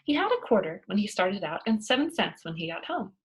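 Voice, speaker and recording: A woman voicing a prim female schoolteacher in a children's storybook, brisk and coaxing with strained patience. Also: mid-range voice, very animated, husky. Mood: brisk, bright. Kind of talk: children's storybook read-aloud.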